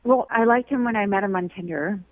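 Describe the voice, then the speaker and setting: A woman's voice: mid-range voice, brisk, no echo, narrow, tinny audio. A woman, a caller on a radio dating segment, talking casually in a blunt, sarcastic, skeptical way. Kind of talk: argumentative casual phone chat.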